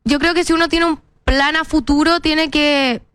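A woman's voice: high-pitched, fairly even intonation, normal speed, fairly bright tone, no room sound. A young woman with a Chilean accent, chatting casually and expressively as an interview guest, often repeating words for emphasis. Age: young adult.

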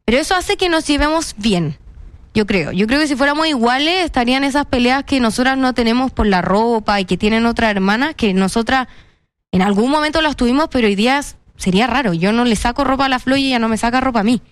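A woman's voice: a little high, animated, fast talker, clear, resonant tone, no room sound. A young woman with a Chilean Spanish accent, chatting as an interview guest in a playful, self-mocking way. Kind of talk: casual interview chat.

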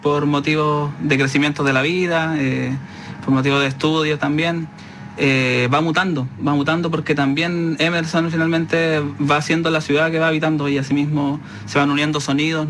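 Reflective Chilean man, halting phrases. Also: on the high side, fairly bright tone, a little hiss or hum. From San Antonio, Chile. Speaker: musician, interview guest. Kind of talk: casual interview answers.

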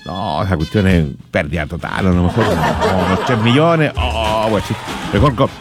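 A man's voice: low-pitched, normal speed. A man with a Chilean accent, a radio host chatting casually and humorously in a rambling, colloquial way. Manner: rambling, jokey, colloquial, chuckles often. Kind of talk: casual humorous chat, anecdotes and listener greetings. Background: music.

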